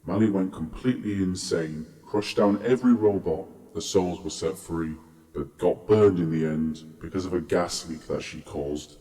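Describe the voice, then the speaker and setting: A deep, mellow male narrator voiced by a man recounts the tale in a grave storytelling tone. He sounds set back from the microphone, with a long reverb trailing his words. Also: normal speed, far from the mic, lightly acted. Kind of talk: scripted narration.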